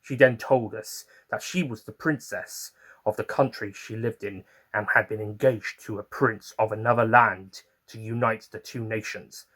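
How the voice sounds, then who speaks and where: A man's voice: fairly low pitch, fairly even intonation, normal speed, a bit bright. A man playing an eccentric, chatty time-traveller who narrates his own adventures in an audio story with theatrical, fond asides. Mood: storytelling.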